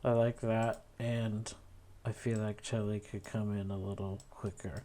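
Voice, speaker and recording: A man's voice: lowish voice, little pitch movement, unhurried, mellow. A man narrating a casual editing tutorial, thinking aloud as he works with typing and clicking behind him. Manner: musing, thinking aloud with tag questions. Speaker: video editor demonstrating an edit. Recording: clear background noise with keyboard clicks.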